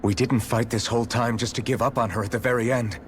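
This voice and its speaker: A man's voice: medium pitch, fairly even intonation, fast talker, a little hiss or hum, lightly acted. A man playing a resolute young fantasy hero in a video game, serious and plain-spoken. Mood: determined, protective. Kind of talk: scripted video game cutscene acting.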